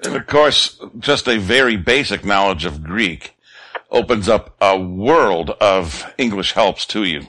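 Low-voiced man on a radio show. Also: expressive, a little slow, bright, forceful tone. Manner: agreeable, adds short affirmations.

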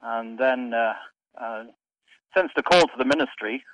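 A man's voice: medium pitch, a little slow, no echo, rough recording. Man, pastor guest, hesitant, trails off, dry sound. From Wales. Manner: hesitant, frequent uhs, trailing off softly. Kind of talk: radio interview.

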